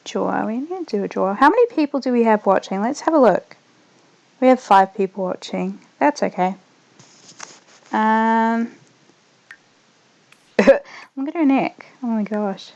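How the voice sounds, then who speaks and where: A young woman with a New Zealand accent tinged slightly Australian, chatting loosely as a streamer while she plays, trailing off quietly as she concentrates. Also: on the high side, animated, measured pace, vocal fry, pauses often, dull audio. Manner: rambling, trails off softly when focused on the game. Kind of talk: casual gaming livestream chat.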